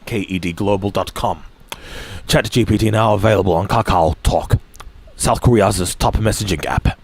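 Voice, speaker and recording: Lowish male voice reading news haltingly. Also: no room sound. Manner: halting, frequent restarts and throat clearing. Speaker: streamer reading news aloud. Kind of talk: reading articles aloud with asides.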